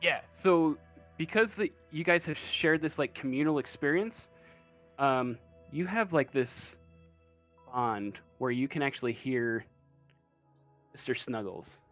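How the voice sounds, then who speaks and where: Slow, hesitant man running a tabletop game. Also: medium pitch, animated, fairly bright tone, faint music behind. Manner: hesitant, searching for words. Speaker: game master. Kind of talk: improvised tabletop game narration.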